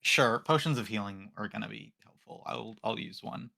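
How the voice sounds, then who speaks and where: A man's voice: fairly low pitch, normal speed, vocal fry, pushed and bright. A man playing a tabletop game, chatting casually in a wry, self-mocking way. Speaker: player.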